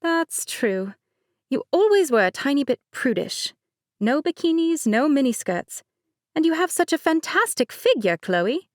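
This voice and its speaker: A bubbly young bride who gushes and teases in a high, bright lilt, as a woman puts her on. The voice sits well above a plain speaking tone and is recorded close and dry. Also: very animated, a little slow, dry recording. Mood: teasing. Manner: gushing, teasing, bright.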